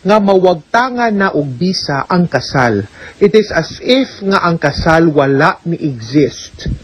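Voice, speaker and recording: Woman, lawyer, radio advice, patient explainer, husky, dry studio sound. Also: deep voice, no echo, rough recording. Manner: patient, explanatory, tags points with "no?" and "okay?". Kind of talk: radio legal advice, answering a listener's letter.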